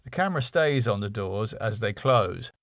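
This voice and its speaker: A man's voice: fairly low pitch, animated, moderate pace, fairly bright tone, no echo, studio quality. A man narrating a comedy script, reading out scene headings and stage directions. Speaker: writer and narrator.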